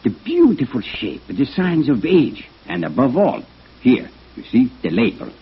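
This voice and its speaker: A man playing a careful, proud foreign violin expert, speaking deliberately and haltingly in a 1950s radio drama. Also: mid-range voice, wildly swooping pitch, breathy edge, heavily acted. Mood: reverent, excited. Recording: muffled vintage radio recording.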